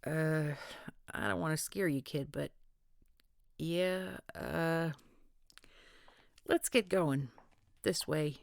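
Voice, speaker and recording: A woman's voice: deep voice, expressive, very slow, pauses often, no room sound, clean studio sound, exaggerated voice. A woman voicing a blunt, foul-mouthed leader of a paranormal group in a scripted audio roleplay. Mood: wary. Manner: gruff, wisecracking, swears often.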